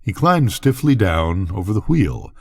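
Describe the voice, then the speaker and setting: An older man narrates a bedtime story. His voice sits fairly low and moves with a lot of melody, and he phrases things deliberately and expressively. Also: big pitch swings, husky. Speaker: narrator, grandfather reading aloud.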